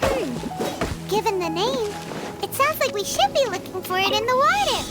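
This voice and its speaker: Tiny game fairy, extremely high squeaky childlike voice, chirpy, swooping intonation, loud music. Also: female voice, sing-song, normal speed. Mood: chirpy, curious. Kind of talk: video game voice acting.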